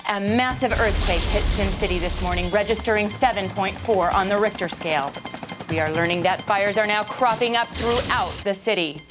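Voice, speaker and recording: An actress playing a polished, flippant American TV news anchor in a mock game newscast, with a deadpan delivery. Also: female voice, lowish voice, lightly acted. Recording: dull lo-fi audio under music. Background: music.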